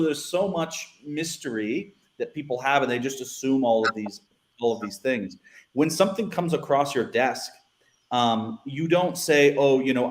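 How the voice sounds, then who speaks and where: A man's voice: mid-range voice, reverberant. A man with an American accent, a guest who was once a newspaper editor, talking casually and restarting his sentences often. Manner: rambling, repeats words, many restarts. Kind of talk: casual panel discussion.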